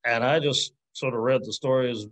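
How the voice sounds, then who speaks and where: Male academic, forties, mid-range pitch, informal Q&A delivery, reflective and a little hesitant, on a video call. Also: fairly even intonation, normal speed, a bit bright, poor audio. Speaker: academic answering audience questions. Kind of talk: informal lecture Q&A, thinking aloud. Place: indoors on a video call with virtual background.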